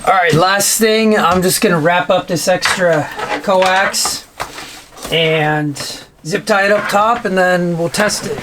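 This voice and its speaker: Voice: mid-range voice, slow. A DIY vlog host giving casual, hands-on how-to narration, informally talking to themself while working. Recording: clearly noisy on-site recording, clicks.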